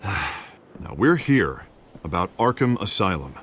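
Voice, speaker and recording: A man's voice: low-pitched, sing-song, takes it slowly, a bit bright, slight background noise, heavily acted. An American man playing a confident, folksy city politician in a video game, with a polished public-speaking manner. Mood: assured, rousing. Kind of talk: video game dramatic acting.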